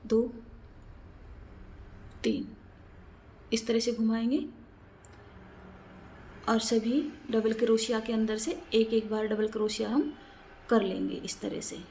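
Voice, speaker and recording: A woman's voice: on the high side, flat delivery, slow pace, long pauses, mellow, a little hiss or hum. A woman narrating a step-by-step craft tutorial, explaining in a repetitive, instructional way and counting aloud.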